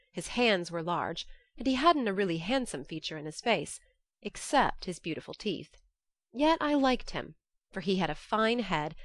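A woman reads a classic novel as an audiobook narrator. Her voice sits a little low and the sound is dry and close. The delivery is expressive, scripted first-person storytelling. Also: no echo.

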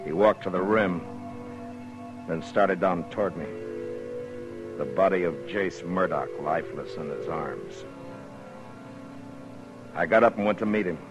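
An American actor playing a stern, plainspoken US Marshal in a 1950s radio western, speaking in a terse, grave, deliberate way. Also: male voice, sits low. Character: stern, steady frontier US Marshal, weary and plainspoken. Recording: muffled old radio recording, music behind.

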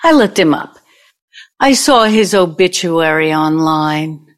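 Woman playing a slow-talking, brash older woman. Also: deep voice, lively intonation. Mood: gushing, probing. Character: older woman, brash, nostalgic, scheming old flame. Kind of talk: audio play acting.

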